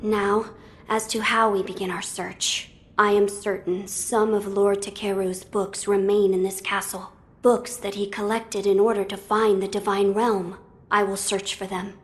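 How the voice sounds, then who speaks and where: A woman voicing a young noble boy lord in a video game, formal, measured and earnest. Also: medium pitch, flat delivery, lightly acted. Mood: resolute. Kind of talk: video game cutscene acting.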